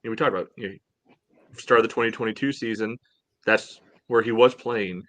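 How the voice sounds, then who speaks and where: A man on a podcast panel giving casual sports analysis in a measured, hedging way, peppered with "you know". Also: on the low side, steady tone, a bit bright, dry recording.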